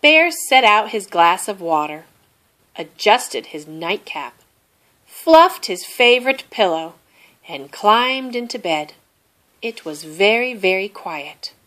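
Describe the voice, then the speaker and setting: Woman storybook reader, unhurried delivery, very animated intonation, medium pitch, scripted children's narration.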